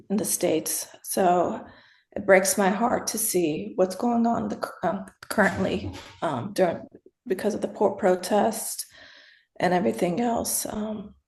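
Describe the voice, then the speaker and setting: A woman in her fifties answering as an interview guest, hesitant with many pauses and fillers. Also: medium pitch, creaky, darkish tone, echoey room.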